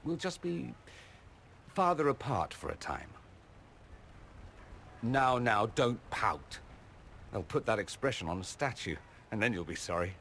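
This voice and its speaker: A man's voice: medium pitch, big pitch swings, unhurried, creaky, pauses often, fairly bright tone, slight background noise, heavily acted. A man playing a witty, theatrical mage ambassador in a video game, arch, eloquent and affectionate. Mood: tender teasing. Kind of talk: video game cutscene acting.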